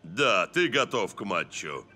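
An actor playing a commanding male football coach in an animated cartoon, speaking with measured authority. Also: on the low side, faint music behind, heavily acted. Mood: stern, commanding.

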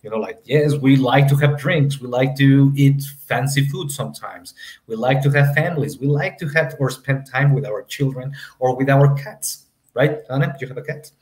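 Man in his 30s, English, rambling with fillers, mid pitch, echoey home room on a webcam. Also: moderate pace, darkish tone. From Mexico. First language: Spanish. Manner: meandering, filler-heavy, leans on "you know". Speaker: panel moderator. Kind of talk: academic panel discussion. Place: home room on a video call. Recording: roomy echoey video call audio.

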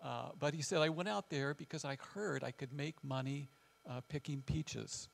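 An older American man with a Midwestern accent and a gravelly voice, giving an informal storytelling talk in a halting, reflective way with frequent pauses. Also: on the high side, slight background noise. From west central Illinois, United States. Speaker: guest speaker, family counselor. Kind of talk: informal presentation with personal storytelling. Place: large church hall with wooden floor, small seated audience.

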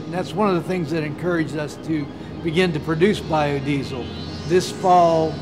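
A middle-aged man from Ohio, a farmer and biodiesel producer, speaking in an interview in a measured, earnest, deliberate way. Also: on the high side, background noise. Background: background music.

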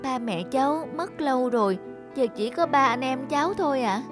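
A woman's voice: high voice, lively intonation, normal speed, music in the background. An actress playing a kind, caring young girl in a cartoon, speaking politely and earnestly. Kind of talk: animated moral tale voice acting.

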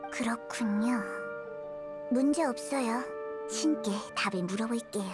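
A woman's voice: fairly high pitch, unhurried, natural voice. A woman voicing a devout, easily offended young girl fortune-teller in a video game, speaking solemnly. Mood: calm, solemn. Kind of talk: Korean video game voice acting. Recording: loud music under the voice. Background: music.

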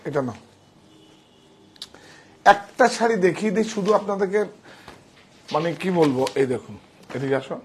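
A man's voice: sits high, expressive, moderate pace, long pauses, slight background noise. A man, giving a casual, emphatic sales demonstration as a shop owner, often repeating himself, on a clip-on mic indoors. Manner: emphatic, repetitive. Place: indoor saree shop showroom. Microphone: wireless clip-on mic on chest.

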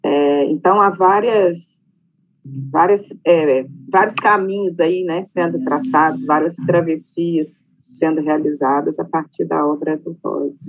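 A woman's voice: low voice, fairly even intonation, unhurried, gravelly, a little hiss or hum, low-quality recording. A middle-aged Brazilian woman on a video call panel, speaking hesitantly with many fillers. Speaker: panelist, professor. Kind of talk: online panel talk. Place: home study with bookshelves.

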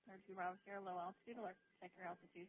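A woman air traffic controller speaks quickly over aviation radio. Her instructions follow set procedure, with informal asides mixed in. The sound is narrow and tinny, with some hiss. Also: mid-range voice, steady tone.

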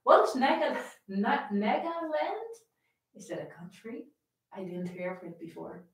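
A woman's voice: on the high side, sing-song, measured pace, heavy reverb, recorded from a distance. A young woman with a Dutch accent, a violin teacher chatting and greeting viewers by name before a live-stream lesson from her home. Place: home room with framed pictures, indoors.

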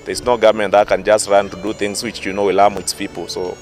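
A man's voice: lowish voice, little pitch movement, fast, over music. Hesitant man at an outdoor press mic. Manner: hesitant, many fillers. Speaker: interviewee. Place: outdoors in open field. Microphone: handheld press mic.

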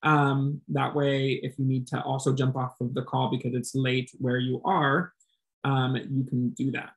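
A man hosting a virtual artist talk, gently thanking and introducing the artists on a video call. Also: mid-range voice, level tone, a little slow. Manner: gentle, encouraging, thanks guests. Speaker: event host.

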